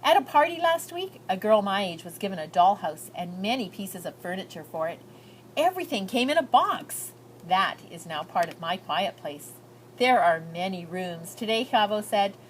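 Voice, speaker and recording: A woman's voice: medium pitch, sing-song, normal speed, slight background noise, close to an everyday voice, rough recording. Earnest young girl read by a woman, lilting. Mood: earnest, hopeful. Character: earnest, shy, hopeful immigrant girl writing letters.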